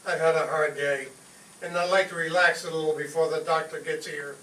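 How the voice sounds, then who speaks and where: Guy doing fed-up tired dad in old radio comedy, highish voice, echoey noisy room. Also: fairly even intonation, brisk, pushed and bright. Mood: weary, firm. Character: weary, exasperated father wanting some peace. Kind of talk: 1940s radio comedy acting. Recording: echoey hall, distant, noisy.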